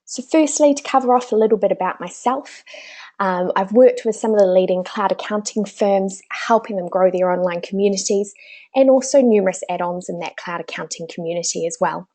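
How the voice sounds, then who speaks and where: A woman's voice: medium pitch, brisk, mellow. A woman with a New Zealand accent presenting a business webinar in a chatty way, with many small fillers.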